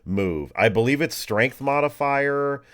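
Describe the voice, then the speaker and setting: A man working as game master, narrating a tabletop game and explaining rules casually, informal and animated, often fumbling his words. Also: mid-range voice, measured pace, clean tone. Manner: informal, animated, fumbles words, uses makeshift sound effects.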